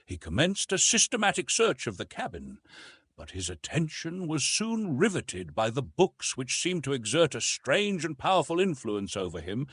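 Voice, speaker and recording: A man narrating a literary audiobook in an expressive, measured storytelling manner with dramatic emphasis. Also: medium pitch, slightly rough, dry recording, studio quality.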